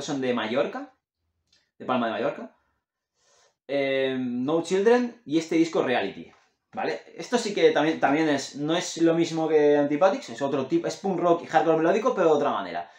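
A man, chatting casually and rambling enthusiastically as he recommends music to the camera at his desk. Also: mid-range voice. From Catalonia, Spain. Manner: rambling, enthusiastic, self-correcting, gestures with his hands. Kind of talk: casual chat. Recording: roomy echo in a home room.

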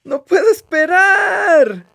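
A man voicing an excitable, impatient elephant in a children's picture book, exclaiming and wailing dramatically. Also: very high-pitched, slow pace, creaky, no echo, studio quality, pitched above the performer's own voice, full character voice.

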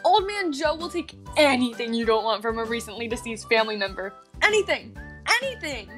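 A woman's voice: on the high side, very animated, brisk, faint music behind. A woman playing a pushy, bossy announcer in a comic radio advertisement, emphatic and exclamatory. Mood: pushy pitch. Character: pushy, bossy commercial announcer, easily outraged.

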